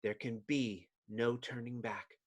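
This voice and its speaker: A man's voice: mid-range voice, fairly even intonation, a little slow, pitched below the performer's own voice, lightly acted. Man voicing a resolute, dramatic young superheroine. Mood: solemn resolve.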